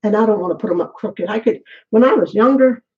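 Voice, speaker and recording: A woman's voice: medium pitch, fast talker, a little mellow, highs cut off. A middle-aged woman vlogging in a candid, rambling chat, short of breath as she braids her hair. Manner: rambling, candid, talks to herself. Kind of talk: casual chat. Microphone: camera while braiding her hair.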